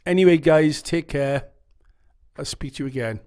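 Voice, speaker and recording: A man's voice: fairly high pitch, moderate pace, a little mellow, clean tone. A man with a Welsh accent giving earnest, rambling advice straight to his viewers. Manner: rambling, earnest, reads quotes dramatically. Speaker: YouTube channel host. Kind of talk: casual advice monologue to camera.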